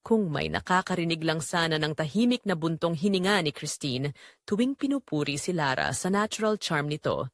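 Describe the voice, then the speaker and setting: A woman with a Filipino accent narrating a scripted romance story in an expressive, measured way with dramatic pauses. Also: deep voice, no room sound, clean studio sound.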